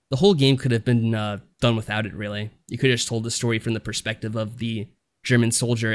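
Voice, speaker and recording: A man co-hosting a podcast over a voice call, talking casually in a mild, somewhat hesitant, informal way. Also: on the low side. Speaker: podcast co-host. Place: remote voice call.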